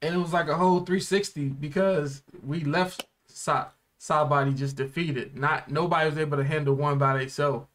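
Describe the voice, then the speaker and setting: A man's voice: fairly high pitch, fairly even intonation, moderate pace, hardly any pauses, fairly bright tone. A young American man chatting casually and in slang as an anime reaction host, seated in a small room. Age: young adult. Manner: slangy, informal.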